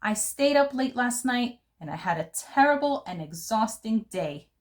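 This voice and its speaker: Woman reading as a lively, fancy little girl. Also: on the high side, unhurried. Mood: exhausted, dramatic. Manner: expressive, theatrical, savoring fancy words. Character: fancy, dramatic little girl who loves glamour. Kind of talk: first-person storybook narration for children.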